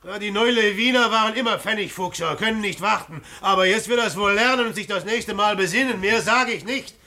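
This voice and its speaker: High, bright, evasive village innkeeper. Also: male voice. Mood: defensive, blustering. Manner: hesitant, halting, repeats words. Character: evasive, blustering village innkeeper in money trouble. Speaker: actor in a radio play. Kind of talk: naturalistic German radio drama dialogue.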